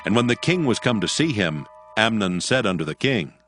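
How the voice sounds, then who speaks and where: A man narrating scripture from a script in a measured, solemn manner. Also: on the low side, big pitch swings, fairly bright tone, faint music behind. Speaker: narrator of a dramatized Bible.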